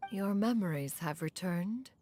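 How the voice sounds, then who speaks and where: A woman voicing a wise, aloof immortal sage in a video game, with lofty, archaic and measured phrasing. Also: fairly low pitch, animated, mellow, faint music behind. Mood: grave. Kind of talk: dramatic video game voice acting.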